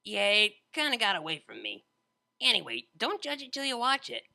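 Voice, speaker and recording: A brash young female DJ pony from a storybook played by a man, with a bright, creaky voice. The delivery is slangy, excitable and very animated. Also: high-pitched, normal speed, pitched above the performer's own voice, full character voice. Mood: teasing. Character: brash, laid-back young female unicorn DJ. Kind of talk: fan fiction audiobook character voice.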